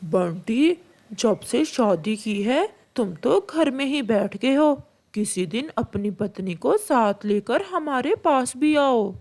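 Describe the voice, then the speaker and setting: A woman's voice: fairly high pitch, animated, takes it slowly, a little mellow, heavily acted. A woman voicing a kindly older uncle pigeon in a children's cartoon, reassuring and avuncular. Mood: friendly chiding. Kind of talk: Hindi children's cartoon voice acting.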